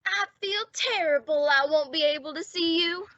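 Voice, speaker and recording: A woman's voice: extremely high pitch, normal speed, a bit bright, dry recording, lo-fi sound. A woman playing an anxious, lovesick and cowardly teenage boy in an old-time radio comedy, earnest and overwrought.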